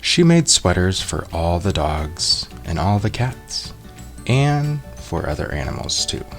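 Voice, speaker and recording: A man reading a children's picture book aloud in an expressive storytelling style, acting out the characters. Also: deep voice, takes it slowly, vocal fry, soft background music. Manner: expressive, acts out character voices.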